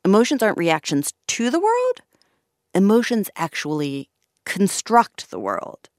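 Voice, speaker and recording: A woman's voice: mid-range voice, wildly swooping pitch, a little slow, darkish tone, no echo, clean studio sound. A woman working as a podcast host and reporter, explaining stories in a conversational narrating style.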